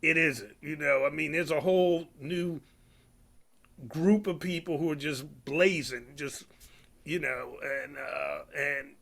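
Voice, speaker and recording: A man's voice: on the high side, steady tone, takes it slowly, creaky, fairly bright tone. An older man who calls himself a senior citizen, hosting an interview with enthusiastic, rambling questions full of fillers. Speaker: interviewer, host. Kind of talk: casual interview conversation.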